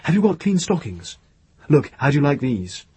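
A kindly, bustling homely woman from a storybook, as a male narrator puts her on. The voice lifts high and swoops about with a husky edge, chatty and generous. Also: very animated, normal speed, warm tone, dry recording, heavily acted.

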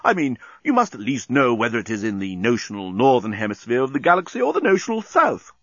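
A gloomy alien navigator, voiced by a male narrator, patronizing and exasperated. The voice is slightly husky, on a dry, dullish audiobook recording. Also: medium pitch, wildly swooping pitch, fast, fairly bright tone, dry recording, dull audio, exaggerated voice. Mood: insistent.

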